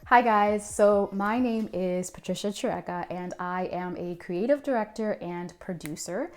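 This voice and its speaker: A woman's voice: mid-range voice, a little slow, a little mellow. A young woman from Zimbabwe who is a founder and creative director, telling her personal story in an earnest, reflective way with frequent ums. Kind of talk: personal story told to camera.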